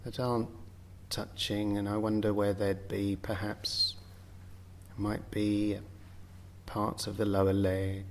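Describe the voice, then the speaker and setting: A man leading a guided yoga nidra meditation, speaking gently in short phrases with long, unhurried pauses. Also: on the low side, level tone. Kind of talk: guided meditation, slow spoken relaxation instruction.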